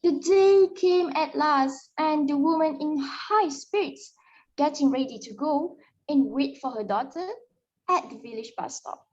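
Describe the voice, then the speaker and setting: A woman performs a storyteller of sad ghost tales in a high voice. The dramatic reading is emotive and a little halting. The audio sounds muffled and low in fidelity. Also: darkish tone.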